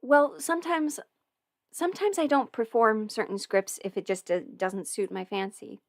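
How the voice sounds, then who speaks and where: A woman with a fairly high voice chats casually as a livestream host. She rambles in an informal way, thinking out loud as she talks. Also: moderate pace. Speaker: livestream host and voice performer answering viewer questions. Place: indoors at a desk at home.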